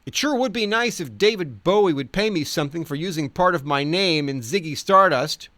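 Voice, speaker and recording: An eccentric cowboy singer, as a male audiobook reader puts him on, boastful and excitable. The voice is pitched well above natural talk and declaims loudly, swinging widely, in a dry recording. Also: brisk, dry recording. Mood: wistful complaint. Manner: loud, emphatic declaiming.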